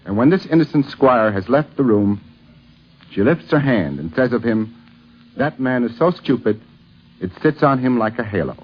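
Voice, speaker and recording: A man's voice: medium pitch, animated, moderate pace. A man delivering a formal, declamatory scripted tribute on 1950s radio. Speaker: speaker paying tribute to an actress. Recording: dull vintage radio recording.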